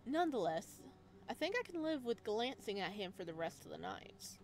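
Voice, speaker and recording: A woman doing a let's play, reading story text aloud and adding expressive, chatty reactions in a casual style. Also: medium pitch, moderate pace, soft background music. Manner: expressive, chatty asides between read passages.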